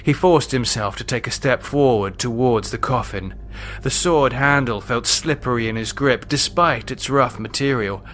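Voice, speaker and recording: A man narrating a horror novel he wrote, in a measured, suspenseful, dramatic storytelling manner. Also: mid-range voice, a bit bright. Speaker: author reading own novel.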